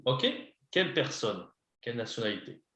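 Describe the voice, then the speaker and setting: A man teaching French on a video call to a beginner, switching between English and French and guiding slowly and patiently. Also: mid-range voice, very animated, fairly bright tone, reverberant. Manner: patient, slow, coaching a beginner. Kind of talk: online lesson, mixing English and French.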